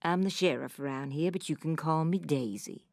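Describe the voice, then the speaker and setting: A self-assured lady sheriff of the frontier for a video game. She has a deep, dark, gravelly voice and folksy, relaxed authority. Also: normal speed, no echo, clean studio sound. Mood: confident, welcoming. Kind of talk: video game character acting.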